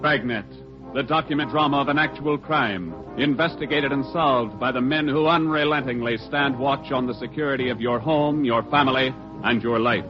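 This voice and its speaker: A man's voice: medium pitch, moderate pace, music in the background. A man announcing in a formal, solemn declaiming way, on a muffled vintage radio broadcast.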